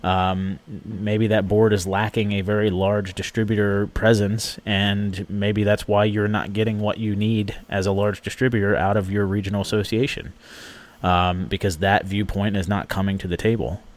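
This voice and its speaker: A man talking in a relaxed panel discussion about serving on association boards, measured and full of fillers. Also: on the low side.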